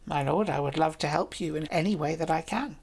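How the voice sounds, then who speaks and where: A woman's voice: sits low, a little fast, darkish tone, husky. Woman voicing a clever noble lady. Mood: warm, persuasive. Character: young noble lady, proud, clever, teasing.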